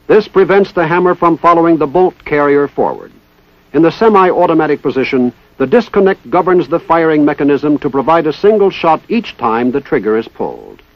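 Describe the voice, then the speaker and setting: A man giving formal, precise, scripted narration in the style of a 1960s military instructional film, with measured enunciation. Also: on the high side, very animated, no room sound. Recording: dull 1960s training film soundtrack.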